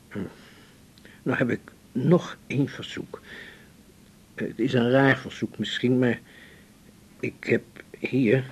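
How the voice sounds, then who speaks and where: Dying male patient, played by an actor, radio drama: extremely slow, halting, gentle, breathy and fading, on a faintly noisy recording. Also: medium pitch, natural voice. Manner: halting, slow, softly pleading. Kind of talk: naturalistic 1980s radio drama.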